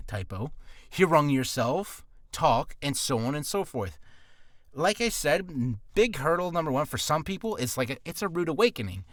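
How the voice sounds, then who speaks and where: A man talks through beginner voice-acting tips in a loose, rambling way, nervous and quick to poke fun at himself. His pitch sits a bit high and swings widely as he talks. The sound is close and dry, recorded in a small, cramped room. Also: no room sound. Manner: nervous, rambling, self-deprecating. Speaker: voice actor giving beginner advice. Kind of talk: casual rambling advice vlog. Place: small hot confined room.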